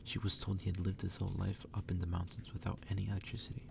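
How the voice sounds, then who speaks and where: Man, hushed ASMR story reader, low flat pitch, breathy, unhurried, distant noisy recording. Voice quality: breathy, whispery. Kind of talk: ASMR whispered reading of a story. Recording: distant mic with clear background noise.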